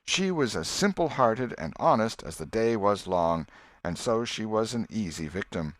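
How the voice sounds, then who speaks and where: Expressive low-voiced male audiobook narrator. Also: normal speed, no echo. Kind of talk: scripted storybook narration.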